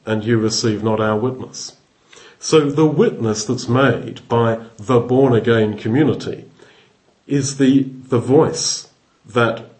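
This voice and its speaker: A man's voice: medium pitch, darkish tone, poor audio. Man teaching scripture thoughtfully. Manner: thoughtful, restarts phrases, explains word by word. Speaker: Bible teacher. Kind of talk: expository Bible teaching.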